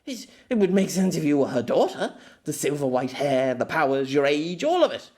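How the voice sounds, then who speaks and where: A man's voice: a little high, big pitch swings, moderate pace, a little mellow. A man playing a middle-aged exile in an audio story, bitter, hot-tempered and desperate, stammering and swearing in dramatic outbursts.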